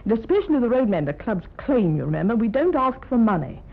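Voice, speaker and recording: A woman's voice: a little high, very animated, fast talker. A woman, talking emphatically and approvingly as a judge in a radio discussion. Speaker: competition judge. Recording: muffled, band-limited 1950s radio broadcast.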